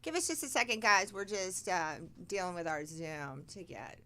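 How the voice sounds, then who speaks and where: A woman hosting a talk podcast, reading guest introductions with emphatic, slowed stresses and asking friendly questions. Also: lowish voice, sing-song, bright, forceful tone. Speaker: podcast host. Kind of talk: hosted talk show interview.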